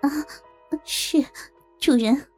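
A woman's voice: drawn-out words, darkish tone, faint music behind. A woman voicing a shy, deferential and hesitant housemaid in an audiobook. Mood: meek. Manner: submissive, bashful, hesitant. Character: shy, deferential, hardworking maid grateful to her master. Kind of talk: audiobook character dialogue.

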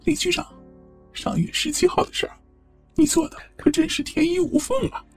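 A man's voice: extremely high pitch, little pitch movement, normal speed, distant mic, faint music behind. A man playing a sly, mocking blackmailer who disguises his voice with a strained, squeezed delivery in an audio drama. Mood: sly taunting.

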